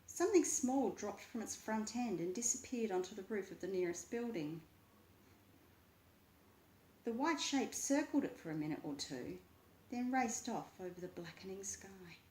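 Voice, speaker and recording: A woman reading a children's book aloud with expressive, deliberate, dramatic narration. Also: mid-range voice, slight background noise. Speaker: story reader. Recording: roomy, echoing voice.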